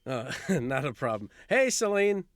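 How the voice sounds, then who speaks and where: A man's voice: mid-range voice, very animated, measured pace, no room sound. A man playing a chatty, upbeat, friendly guy in an indie audio drama, eager and conversational.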